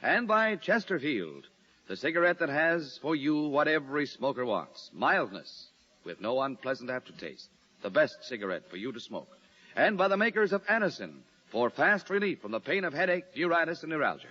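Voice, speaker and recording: A man announcing in 1950s radio style, reading scripted sponsor messages with polished, emphatic pitchman delivery. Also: very animated, normal speed, bright, forceful tone. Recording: dull vintage radio broadcast recording.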